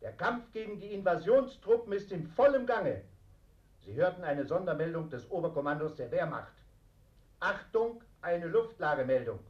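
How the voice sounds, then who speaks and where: An actor playing a solemn 1940s German radio announcer, reading military bulletins in a formal, declamatory style through an old radio set. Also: male voice, sits high, big pitch swings, moderate pace. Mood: solemn, martial. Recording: dull, echoey wartime radio sound.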